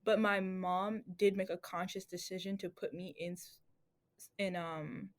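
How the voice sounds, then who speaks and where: A woman's voice: fairly low pitch, steady tone, unhurried. A young woman talking casually on a student podcast, reflective and rambling, with many fillers and restarts. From Atlanta family.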